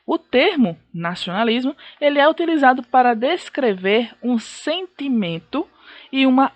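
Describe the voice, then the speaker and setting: A woman's voice: sits high, dry recording. A woman with a Brazilian accent giving a recorded history lesson, explaining in a didactic way and repeating key points. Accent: Brazilian Portuguese. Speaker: teacher.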